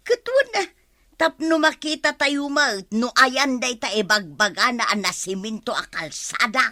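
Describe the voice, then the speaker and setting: A fussy old village woman, high and very animated. She questions everything querulously, in bright radio-comedy style. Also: moderate pace, no room sound. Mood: skeptical. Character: fussy, skeptical older village woman with weak eyesight. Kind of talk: comedy radio drama acting.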